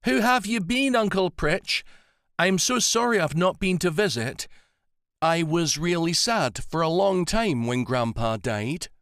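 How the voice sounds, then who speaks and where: A man's voice: high-pitched, very animated, slow pace, a bit bright, dry recording, studio quality, voice pushed up, full character voice. A man voicing an anxious young woman whose voice cracks with emotion. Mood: tearful.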